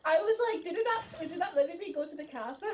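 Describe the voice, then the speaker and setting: Casting: excitable young-sounding female streamer, high voice, bouncy intonation, casual rambling chat over faint music. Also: fast talker, a little hiss or hum. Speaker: gaming streamer. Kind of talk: casual chat while gaming. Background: faint game music.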